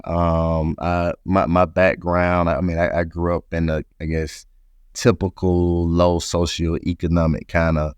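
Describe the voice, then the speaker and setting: A man's voice: sits low, little pitch movement, unhurried, clean tone, no echo. A man from Atlanta, Georgia, speaking as an interview guest and reflectively telling his own story. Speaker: interview guest, company president.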